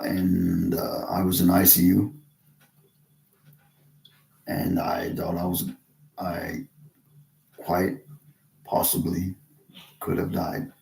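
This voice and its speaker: A man from Texas talking casually to camera in a halting way, with many restarts and pauses. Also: sits low, vocal fry, dark tone. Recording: roomy echo.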